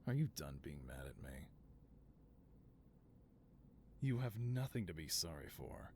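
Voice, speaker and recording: A man's voice: rumbling low, very animated, moderate pace, a little hiss or hum, full character voice. A man playing a theatrical, doting supervillain scientist. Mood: fond, reassuring. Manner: doting, theatrical, softly reassuring then grandiose. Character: affable, theatrical supervillain mad scientist boss, devoted to assistant. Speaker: voice actor. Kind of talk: scripted audio roleplay, one-sided conversation.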